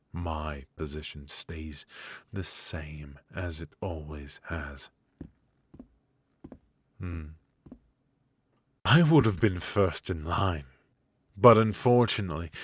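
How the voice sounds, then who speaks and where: A man playing a bitter, haughty royal mage in an intimate fantasy ASMR roleplay, speaking in a measured, aristocratic, dryly mocking way. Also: deep voice, husky, dry recording, heavily acted. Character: bitter, sardonic, haughty royal mage passed over for succession. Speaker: amateur voice actor.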